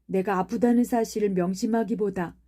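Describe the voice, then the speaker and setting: A woman's voice: medium pitch, fairly even intonation, a little fast, mellow, pitched above the performer's own voice, natural voice. Bossy, sickly young boy who talks like a little prince, read by a woman narrator; audiobook. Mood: imperious. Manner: commanding, demanding. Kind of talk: audiobook character reading.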